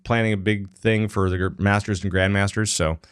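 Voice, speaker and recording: A man's voice: on the low side, fairly even intonation, fairly quick, clear, resonant tone, no echo, clean studio sound. A man hosting a casual gaming podcast, talking loosely with lots of fillers and restarts. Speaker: podcast host.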